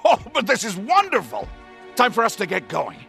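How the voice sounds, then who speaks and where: A man voicing an eccentric, excitable older scientist in a video game, with a genteel, exclamatory manner. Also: sits high, normal speed, gravelly, faint music behind, full character voice. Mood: delighted.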